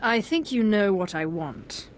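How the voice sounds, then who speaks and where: A cool, self-possessed dark-side initiate for a video game. The delivery is formal and controlled, with faint background noise. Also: mid-range voice, expressive, measured pace. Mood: cool, assured. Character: cool, composed young Sith initiate.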